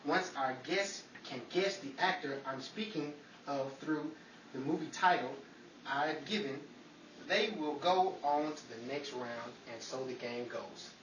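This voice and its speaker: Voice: mid-range voice, a little slow, a bit bright. Vintage-style radio quiz host, folksy announcer manner, distant roomy recording with faint noise. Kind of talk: old-time radio game-show hosting.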